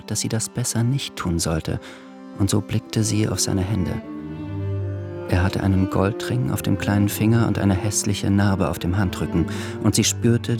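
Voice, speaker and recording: Low-voiced male narrator over music. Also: creaky. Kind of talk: scripted literary narration in radio drama.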